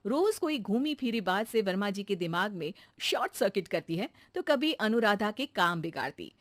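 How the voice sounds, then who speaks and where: A woman's voice: mid-range voice, big pitch swings, moderate pace, no room sound. A woman narrating a comic cartoon story in a scripted storytelling style.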